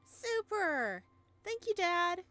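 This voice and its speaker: A woman's voice: extremely high pitch, very animated, drawn-out words, a bit bright, faint music behind, pitched above the performer's own voice, full character voice. A woman voicing a sweet, eager little girl, a devoted daughter in a visual novel. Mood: excited. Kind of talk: visual novel character read-aloud.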